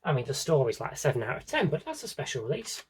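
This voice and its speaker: A man's voice: medium pitch, huge pitch swings, fast talker, a little hiss or hum. A young British man chatting casually through a show-and-tell, with dry, self-deprecating humour and rambling asides. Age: young adult. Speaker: video creator.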